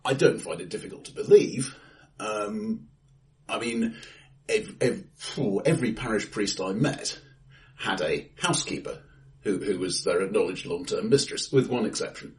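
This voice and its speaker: Older-ish english guy on a film podcast, kinda deep, wry, stumbles over word starts, bit far from the mic. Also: moderate pace, a little mellow. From England. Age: middle-aged or older. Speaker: podcast co-host. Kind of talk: casual film discussion. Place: indoor room, recorded face to face. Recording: distant mic, live-sounding room.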